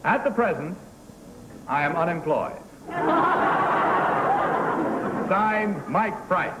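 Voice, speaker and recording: Brief: 1950s TV game show host, male, lively, hissy old broadcast tape. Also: high-pitched. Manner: lively, brisk, reads affidavits aloud. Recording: noisy 1950s broadcast recording.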